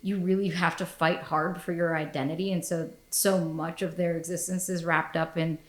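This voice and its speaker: A woman's voice: on the low side, flat delivery, moderate pace. A woman hosting a podcast in casual chat, blunt and profane, laughing often. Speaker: podcast host. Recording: echoey room.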